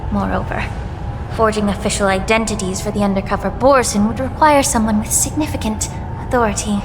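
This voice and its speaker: An actress playing a poised young woman alchemist in a game, speaking in a deliberate, analytical way. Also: a little high, lightly acted. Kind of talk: voiced game dialogue. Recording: reverberant game mix with music. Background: orchestral game music.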